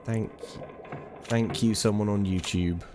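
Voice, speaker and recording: A man's voice: on the low side, fairly even intonation, takes it slowly, background noise. A man streaming a mystery game, talking casually to his chat and joking at his own expense. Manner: chatty, talks to his chat, self-mocking. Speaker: let's play streamer. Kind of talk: casual gameplay commentary.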